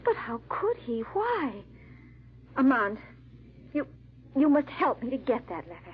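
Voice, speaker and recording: A woman's voice: high voice, sing-song, unhurried, slight background noise. A woman playing an anxious young French bride in a 1940s radio drama, with formal, theatrical diction. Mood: urgent, anxious. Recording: dull, muffled old radio recording.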